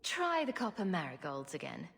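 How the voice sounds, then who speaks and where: A woman's voice: on the low side, huge pitch swings, normal speed, gravelly, fairly bright tone, husky, full character voice. An actress playing a wry, loyal woman adventurer with a dry, sardonic way of talking in a fantasy video game. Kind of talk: cinematic game dialogue acting.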